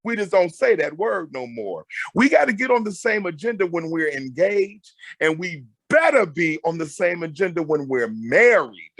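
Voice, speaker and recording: A middle-aged man with a high voice that swings widely as he talks. He is loud and emphatic, giving conversational counsel and repeating phrases for weight. The sound is close and dry. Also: big pitch swings, normal speed, few pauses, no echo. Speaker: guest marriage counselor and mentor. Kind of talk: animated conversational advice talk.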